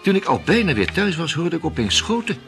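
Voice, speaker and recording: Smooth art dealer, playful and evasive, lively bright voice, faint music. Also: male voice, on the high side, brisk. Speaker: radio drama actor. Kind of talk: radio play acting.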